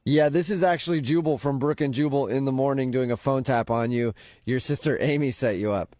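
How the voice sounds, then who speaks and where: A man's voice: medium pitch, brisk, darkish tone, no echo. Upbeat male radio host, jokey. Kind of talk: casual prank reveal on phone.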